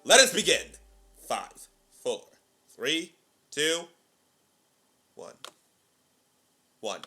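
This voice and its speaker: A man hosting a reaction video with excitable, hammy, theatrical commentary. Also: a little high, extremely slow, faint music behind.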